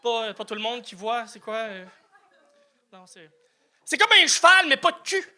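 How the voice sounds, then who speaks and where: A man's voice: extremely high pitch, animated, normal speed, sharp tone. A man, doing a self-mocking stand-up set with stammered restarts and swearing. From New Brunswick, Canada. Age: 30s. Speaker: stand-up comedian.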